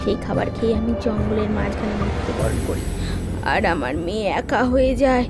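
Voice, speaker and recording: A woman's voice: mid-range voice, lively intonation, a little fast, loud music behind the voice, natural voice. A woman voicing the stern, vengeful ghost of a betrayed lady of the house in a horror cartoon. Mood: grim, accusing. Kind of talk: Bengali horror cartoon acting.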